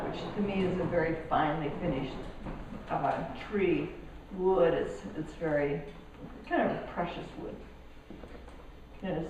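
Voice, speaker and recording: An older woman from Colombia talks through her artwork in a gallery, pausing and restarting her sentences. Her voice sits a little low and comes from some distance off the mic. The big hall gives a strong echo over a faint background hiss. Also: darkish tone. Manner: halting, with many restarts and fillers. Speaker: artist giving a gallery talk. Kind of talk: casual artist talk. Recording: echoey hall, speaker off mic, faint noise.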